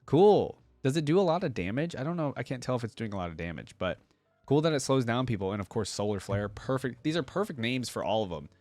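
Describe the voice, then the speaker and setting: A man doing casual reaction commentary as a channel host, easily impressed and thinking out loud, sometimes reading game descriptions aloud. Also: mid-range voice, very animated, fast talker, dry recording, soft background music.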